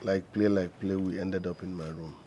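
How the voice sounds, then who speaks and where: An actor playing a sheepish young man who explains himself in a calm, low, defensive way. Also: normal speed, slight background noise, natural voice.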